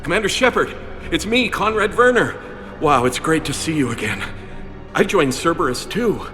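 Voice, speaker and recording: An overeager sci-fi game fanboy with a bright voice that bounces all over. He is bumbling and apologetic, hesitating as he gets flustered, with music playing behind him. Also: a little high, lively intonation, normal speed. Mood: eager. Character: eager, bumbling, apologetic male fan of a space commander. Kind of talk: video game voice acting.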